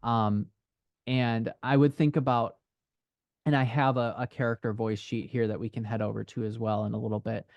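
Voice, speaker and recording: A man speaks at a middling pitch in a close, dry recording. He coaches a writer in casual conversation, feeling his way through each point with halting, hedged phrasing as he thinks aloud. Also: flat delivery, gravelly, dry recording, muffled recording. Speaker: dialogue writing coach.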